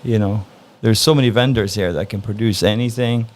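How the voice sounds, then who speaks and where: A man from Norway answering interview questions in a relaxed, thoughtful way, pausing often to find his words. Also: fairly low pitch. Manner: relaxed, thoughtful, frequent "uh" pauses. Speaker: interview guest.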